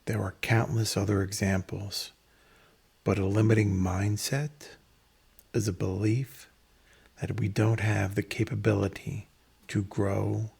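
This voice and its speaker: Husky, low-voiced man guiding meditation slowly. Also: a little mellow. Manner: measured, instructional, pausing between phrases. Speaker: meditation guide. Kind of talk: guided meditation.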